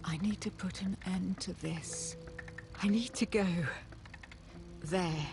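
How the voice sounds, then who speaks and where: An actress playing a frightened but determined woman in a horror game, hushed and halting as she questions herself. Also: lowish voice, soft background music. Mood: grim, determined. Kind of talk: dramatic video game acting with introspective monologue.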